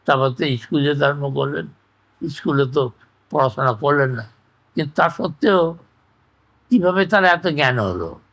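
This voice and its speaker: A man's voice: a little high, animated, moderate pace, pauses often, a bit bright. An older man, reminiscing and giving opinions in an emphatic, conversational interview style with rhetorical questions. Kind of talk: conversational oral-history reminiscence.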